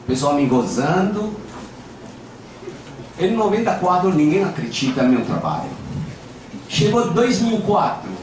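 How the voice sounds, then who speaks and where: A man's voice: high voice, sing-song, measured pace, long pauses. An older man speaking Portuguese with a Japanese accent, giving an informal acupuncture lecture into a handheld mic, telling anecdotes in a playful, self-deprecating way. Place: large lecture hall with audience. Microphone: handheld microphone. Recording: echoey hall, camera at a distance, background noise.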